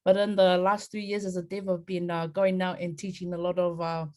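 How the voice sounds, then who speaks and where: A speaker introducing themself on a video-call panel, energetic and chatty with frequent fillers. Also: medium pitch, a little fast. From New Zealand. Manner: warm, energetic, many fillers. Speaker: software engineer, panelist. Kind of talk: casual self-introduction.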